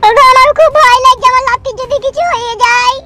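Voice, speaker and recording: A woman's voice: very high voice, steady tone, fast talker. A woman voicing an anxious, plaintive old village grandmother in a cartoon. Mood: fearful. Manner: plaintive, fretful. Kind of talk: cartoon melodrama. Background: music.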